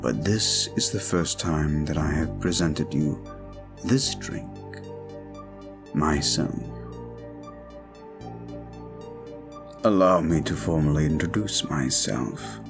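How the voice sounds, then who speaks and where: A man playing a suave, possessive vampire in an audio roleplay, speaking formally and deliberately with a commanding manner. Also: a bass voice, music in the background, exaggerated voice. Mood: courtly, smooth. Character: suave, controlling male vampire, courtly and possessive. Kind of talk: scripted audio roleplay, slow intimate monologue.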